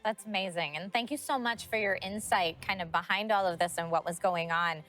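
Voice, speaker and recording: A woman's voice: lowish voice, brisk, fairly bright tone, faint music behind. A woman hosting an interview, asking questions in an upbeat, appreciative way. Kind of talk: friendly press interview.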